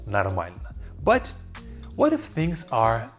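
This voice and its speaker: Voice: mid-range voice, wildly swooping pitch. Synthetic-sounding dubbed language teacher. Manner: slow, repeats words for learners. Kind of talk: scripted lesson narration. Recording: auto-dubbed voice with faint music.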